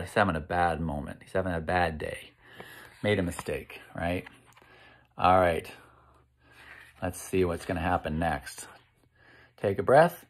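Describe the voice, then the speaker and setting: Slow-paced American man reading to children. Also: medium pitch. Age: 50s. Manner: slow, expressive, encouraging, pausing to ask questions. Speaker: children's read-aloud host. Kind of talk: picture book read-aloud with questions to young listeners. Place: indoor room at home.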